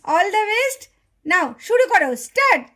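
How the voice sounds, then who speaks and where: Woman voicing a very high-pitched, chatty auntie doll. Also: big pitch swings, measured pace, bright, forceful tone, exaggerated voice. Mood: scolding, brisk. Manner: exclaiming, instructing, chatty. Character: cheerful auntie doll hosting a children's drawing contest, bossy but kind. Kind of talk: puppet doll story for children.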